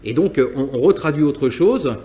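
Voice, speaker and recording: A man's voice: on the high side, lively intonation, normal speed, mellow. A man from Nantes who runs a company, answering interview questions hesitantly with many restarts and repeated words. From France, Nantes. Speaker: business owner. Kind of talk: interview answers, personal reflection.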